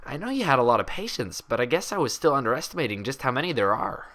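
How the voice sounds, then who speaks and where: A man voicing an earnest, inquisitive female unicorn with a precise, measured, questioning manner, in a story reading. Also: mid-range voice, sing-song, sharp tone, voice pushed up. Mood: surprised. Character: inquisitive, persistent young unicorn mare, sharp and earnest.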